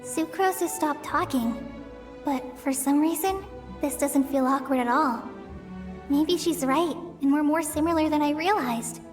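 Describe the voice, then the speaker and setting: A woman's voice: sits high, unhurried, faint music behind. An actress voicing a timid, self-doubting teenage girl in a video game, sincere and unsure of herself. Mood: reflective.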